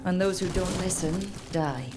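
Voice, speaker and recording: An actress playing a grim, brooding woman investigator in a fantasy video game, speaking in a measured, dramatic way. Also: lowish voice, natural voice. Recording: game music behind the voice.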